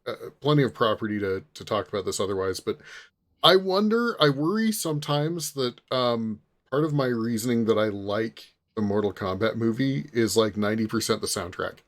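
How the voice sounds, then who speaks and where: A man's voice: fairly low pitch, lively intonation, moderate pace. A man co-hosting a casual group podcast, talking in a rambling, joking way. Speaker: podcast co-host.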